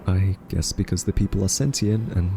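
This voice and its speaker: Over faint music, a man acts out a quirky, anxious male scientist in an audio roleplay. His low voice is soft and mellow, and he pleads his case with hesitant, earnest care. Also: fairly even intonation. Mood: uneasy, conceding. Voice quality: soft-spoken. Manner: hesitant, halting, earnest reasoning. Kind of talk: audio roleplay monologue to the listener.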